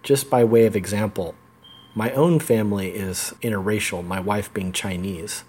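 A man hosting an interview, reading an earnest, measured introduction. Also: fairly low pitch, a little mellow, husky. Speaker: podcast host and interviewer. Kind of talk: scripted introduction then casual interview.

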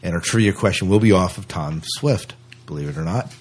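Very low-pitched male podcast host, slightly husky. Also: a little fast. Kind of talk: casual podcast chat about news.

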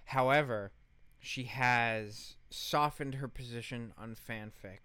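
A man's voice: medium pitch, takes it slowly, bright, forceful tone, clear, resonant tone, no echo. A man co-hosting a podcast, telling a story in casual commentary with an emphatic, sarcastic manner and casual swearing. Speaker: podcast co-host.

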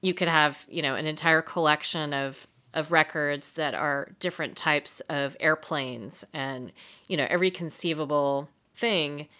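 A woman's voice: low-pitched, fairly even intonation, unhurried, sharp tone. A woman hosting a podcast, asking questions in a conversational interview style.

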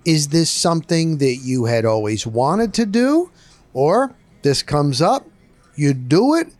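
A man's voice: fairly high pitch, sing-song, takes it slowly, darkish tone, dry recording. A man hosting a casual sports interview, putting questions to his guest in a conversational style. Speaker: interviewer, host.

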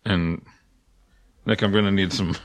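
A man's voice: low voice, steady tone, unhurried. A man co-hosting a podcast, casually reading out the closing contact details and credits.

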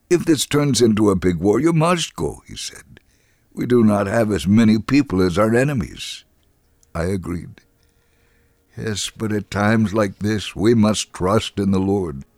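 A man reading a devotional story as a dramatic narrator, deliberate and emphatic, acting out the dialogue. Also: on the low side, darkish tone, dry recording.

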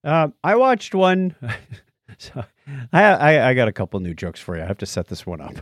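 A man's voice: medium pitch, huge pitch swings, normal speed, dry recording, studio quality. A man hosting a podcast in a casual, playful, joking style. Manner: jokey, playful. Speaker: podcast host. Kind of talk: casual chat, listener shout-outs.

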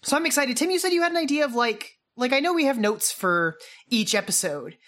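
Chatty, excitable woman co-hosting a podcast. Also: high voice, brisk. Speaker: podcast co-host. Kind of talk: casual podcast chat about a radio drama.

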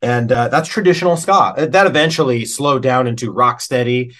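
A middle-aged American man talking casually as an interview guest, telling stories like a working musician, with pauses and emphasis on key words. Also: mid-range voice, fairly bright tone. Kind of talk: casual conversational storytelling.

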